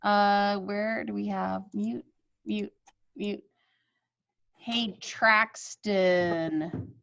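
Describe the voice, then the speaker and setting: A woman teacher reading a storybook aloud to children, expressive and encouraging, over a dull video call. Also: mid-range voice, drawn-out words, vocal fry. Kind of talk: storybook read-aloud with chat to pupils. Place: online video class.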